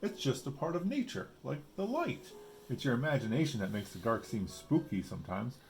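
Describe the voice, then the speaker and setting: A man's voice: mid-range voice, sing-song, a little fast, gravelly, slight background noise, pitched above the performer's own voice. A man reading a picture book, voicing a big, kindly, reassuring father bear. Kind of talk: picture book read-aloud.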